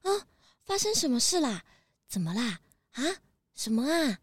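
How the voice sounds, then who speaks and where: Vain, high-voiced lady guest. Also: sing-song, normal speed. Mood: startled. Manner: gushing, self-admiring. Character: vain, beauty-obsessed, excitable lady guest. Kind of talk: children's audio drama acting.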